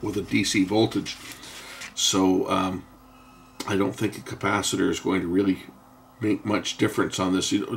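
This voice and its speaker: A man giving an unscripted, hands-on technical explanation in a halting way, often pausing and repeating himself to correct what he says. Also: on the low side, vocal fry, a little hiss or hum. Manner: halting, self-correcting, with frequent pauses and repeats. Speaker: host of an electronics repair channel.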